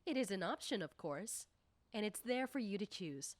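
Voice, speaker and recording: A woman playing a confident, nosy popular high school girl in an ASMR roleplay, talking coyly and teasingly to the listener. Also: lively intonation, moderate pace, no echo, close to an everyday voice. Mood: indignant, self-assured.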